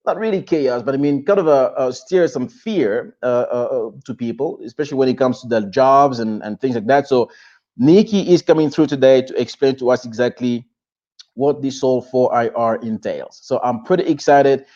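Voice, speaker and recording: A man's voice: mid-range voice, moderate pace, mellow, dull audio. A man from the DR Congo hosting a live-stream interview show, talking effusively with lots of restarts and fillers. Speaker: show host.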